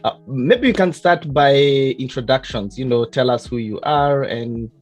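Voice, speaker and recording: A man's voice: mid-range voice, a little slow, soft background music. A man hosting an interview over a call, hesitant, with many "uh"s and restarts. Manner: hesitant, many "uh"s and repeated starts. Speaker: interview host.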